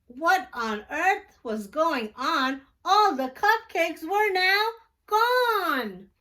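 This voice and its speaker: A woman reads a children's story aloud at an unhurried pace. Her voice is high and swings expressively, with a sing-song, emphatic delivery that suits storytime. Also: sing-song, bright, forceful tone. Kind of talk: slow expressive read-aloud of a picture book for children.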